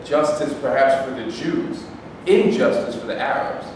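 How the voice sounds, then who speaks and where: A man's voice: mid-range voice, moderate pace, lightly acted. Man acting an indignant debater, distant and echoey. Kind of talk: staged reading of scripted dialogue. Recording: distant mic, echoey hall, noisy.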